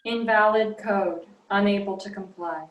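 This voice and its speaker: A cold border-security computer acted by a woman in a sci-fi audio drama. The delivery is formal, rigid and impersonal, at a middling pitch. It comes through distant and roomy, with faint noise behind it. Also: unhurried, lo-fi sound, natural voice. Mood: impersonal, official. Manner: formal, flat, procedural announcing. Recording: roomy, noisy, distant-sounding remote microphone.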